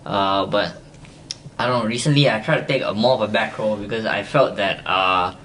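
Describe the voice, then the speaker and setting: A young man hosting a podcast in a relaxed chat. His is lively, with quick bursts and easy laughter. His voice sits a little low, with a faint hum of noise behind him. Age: young adult. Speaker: podcast host.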